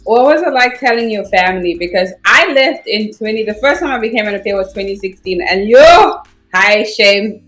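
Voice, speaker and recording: A woman's voice: medium pitch, moderate pace. Chatty woman host, echoey room. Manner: chatty, excitable, bursts into shouts. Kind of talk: casual video interview. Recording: echoey room with faint music.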